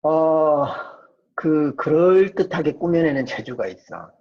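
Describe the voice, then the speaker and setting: A man's voice: a little high, lively intonation, takes it slowly. A man giving an informal psychology lecture, explaining conversationally and often answering his own questions. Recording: dull, muffled lo-fi audio.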